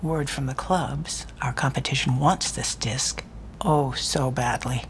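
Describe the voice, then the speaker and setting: An actress voicing a sultry, sly female criminal planner in a video game, breathy, smooth and teasing. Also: extremely low pitch, measured pace, a little hiss or hum. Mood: sly, seductive. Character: sultry, sly female crime boss's right hand. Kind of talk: cinematic video game acting.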